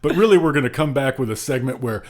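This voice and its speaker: A man with a mid-pitched voice takes part in a podcast panel. His delivery is casual and informal, with a wry touch. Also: lively intonation, fast, a little mellow. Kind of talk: casual chat.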